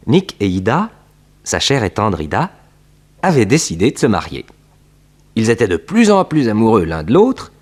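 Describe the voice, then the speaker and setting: A storyteller nephew in a 1960s radio serial. His voice is lowish and very lively, rising and falling theatrically as he narrates. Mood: storytelling. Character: detective's nephew recounting his uncle's exploits, theatrical.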